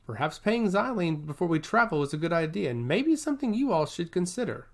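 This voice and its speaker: A man's voice: high voice, big pitch swings, brisk, vocal fry, voice pushed up, full character voice. A man playing a forward, self-assured young nobleman in a fantasy story, with courtly, florid phrasing. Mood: boastful, casual.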